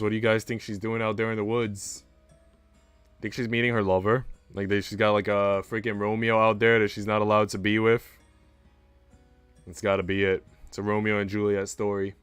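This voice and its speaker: A man's voice: on the low side, fairly bright tone, smooth, no room sound. A man livestreaming, reading story narration aloud and adding slangy, playful casual commentary.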